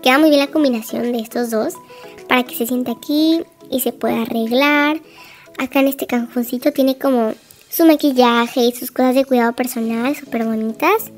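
A woman's voice: high voice, fairly even intonation, normal speed, faint music behind. A woman giving a chatty, gushing voice-over tour of a game house, full of little fillers.

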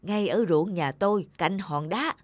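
A woman's voice: fairly low pitch, a little slow, no room sound. Woman acting honest, good-natured old farmer man. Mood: excited, friendly. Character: honest, simple, kindly old male farmer. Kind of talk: cartoon folktale character acting.

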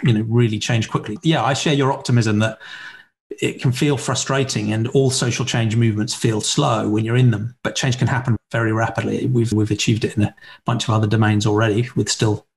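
A man's voice: on the low side, steady tone, fairly quick, gravelly. A man in his forties hosting a podcast interview over a video call from a room at home, earnest and encouraging. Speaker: podcast host. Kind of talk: interview conversation. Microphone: webcam on video call.

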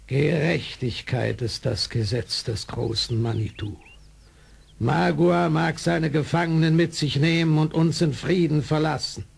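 Very raspy, creaky ancient chief. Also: male voice, a little high, heavily acted. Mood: grave. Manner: slow, solemn, ceremonial delivery. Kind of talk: 1970s German radio play acting.

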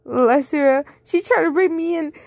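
A woman voicing a spiteful, lying young woman at a party, shrill and accusing. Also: moderate pace, gravelly, a little mellow, no room sound, clean studio sound.

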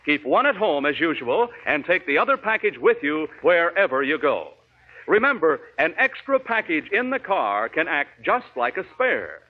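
A man's voice: fairly high pitch, sing-song, normal speed. An American man reading a scripted commercial as a 1940s radio announcer. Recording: tinny narrow-band 1940s radio recording.

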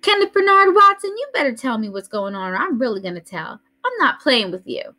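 Woman doing a shrill, scolding little girl. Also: big pitch swings, a little fast, sharp tone, full character voice.

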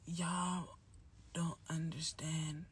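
A young woman with a soft, whispery voice, hoarse and nearly losing it, chatting casually on a phone livestream at night. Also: deep voice, little pitch movement, very slow. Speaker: livestream host. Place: dark room at night. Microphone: phone held close.

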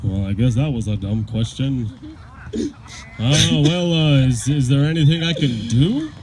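A man voicing an awkward, well-meaning chimp puppet, speaking haltingly with many uhs in a live puppet show. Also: medium pitch, lively intonation, a little mellow, lightly acted. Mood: awkward, concerned. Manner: hesitant, halting, full of uhs. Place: outdoors on a lawn, behind puppet stage. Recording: noisy outdoor live recording.

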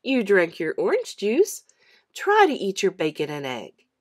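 Storybook role: gently firm mom, a woman reading, coaxing, emphatic, mid-pitched and animated. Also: a little slow. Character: patient, gently firm mother.

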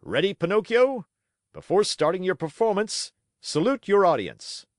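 A man's voice: high-pitched, sing-song, normal speed, no echo, clean studio sound, voice pushed up. A man putting on a pompous circus ringmaster. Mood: commanding. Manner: grandiose showman's address. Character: pompous boastful male circus manager.

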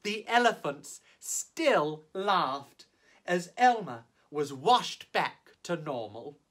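A man performing as a drag storyteller, reading a children's picture book theatrically with exaggerated emphasis and drawn-out words. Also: a little high, very animated, a bit bright. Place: small indoor set, on camera.

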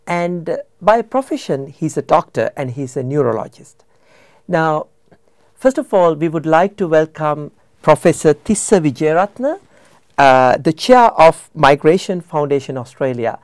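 A middle-aged man hosting a TV studio interview, asking questions in a halting way with fillers, on a lapel mic. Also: fairly high pitch, lively intonation, no room sound. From Sri Lanka. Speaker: TV host.

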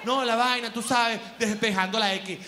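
A man's voice: extremely high pitch, steady tone, normal speed, background noise. A man, doing rambling stand-up comedy on a theater stage with a handheld mic, jumping into exaggerated put-on quotes. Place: large theater stage with live audience.

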